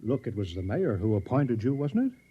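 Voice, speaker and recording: An actor playing a fussy village mayor who talks in a fluttery, apologetic, stammering way in a 1950s radio drama. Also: male voice, medium pitch, sing-song, normal speed, slightly rough. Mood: cheerful. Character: fussy, flustered village mayor turned general. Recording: dull old radio recording.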